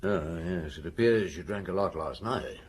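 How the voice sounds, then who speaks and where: An actor playing a patient police detective in a radio drama, putting probing questions with measured courtesy. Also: male voice, deep voice, slight background noise, close to an everyday voice.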